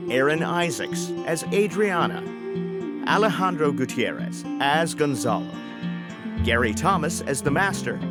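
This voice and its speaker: A man acts as announcer, reading scripted credits with an extremely varied, swooping intonation. His voice sits slightly high, and loud plucked guitar music plays underneath.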